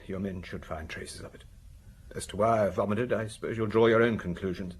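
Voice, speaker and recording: An actor playing a shaken, vain husband in a radio drama, speaking in a halting, anguished, defensive way. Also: male voice, on the low side, husky. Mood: shaken, horrified. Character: shaken husband, a vain well-dressed provincial, wife found murdered.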